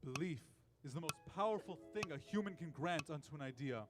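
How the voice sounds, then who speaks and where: A man playing a wry, lofty embodiment of death in a radio drama, making grand, measured pronouncements with sardonic jabs. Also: fairly high pitch, lively intonation, lightly acted. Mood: solemn musing. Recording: voice under prominent music.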